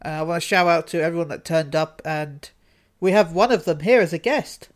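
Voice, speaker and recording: A man's voice: on the high side, fairly quick. Self-deprecating man on a podcast. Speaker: podcast co-host. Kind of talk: casual chat.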